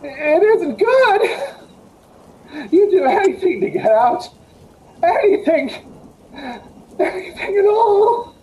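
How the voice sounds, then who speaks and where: A man plays an escaped madman and killer in a vintage radio suspense play. He is brooding and unrepentant, with a dark, mellow tone. His delivery is slow and deliberate, sliding widely in pitch. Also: big pitch swings, a little hiss or hum, exaggerated voice, poor audio. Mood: agitated, desperate. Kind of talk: old-time radio suspense acting. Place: indoor room at home, on a video call.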